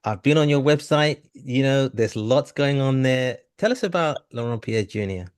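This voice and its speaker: A man's voice: medium pitch, animated, normal speed, clear, resonant tone. A man hosting an interview podcast in a courteous, formal manner. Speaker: podcast host.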